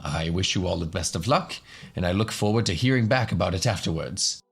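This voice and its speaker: Man voicing a drowsy, low old professor. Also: expressive, brisk, creaky, fairly bright tone, breathy edge, heavily acted. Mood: tired, encouraging. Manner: polite, hesitant, drowsy. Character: tired, kindly old male professor. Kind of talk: fantasy radio drama acting.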